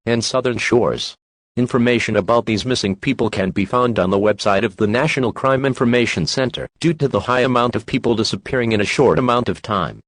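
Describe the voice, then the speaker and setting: Man as an impersonal emergency alert voice: formal, measured, very dry sound. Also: medium pitch, dry recording, close to an everyday voice. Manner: flat, formal, measured delivery. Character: impersonal male emergency broadcast announcer.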